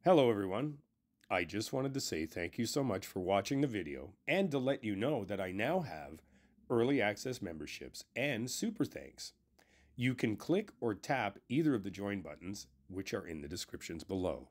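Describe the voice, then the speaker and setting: A man's voice: fairly low pitch, big pitch swings, normal speed. A man speaking as an audio creator, giving a channel outro straight to his viewers.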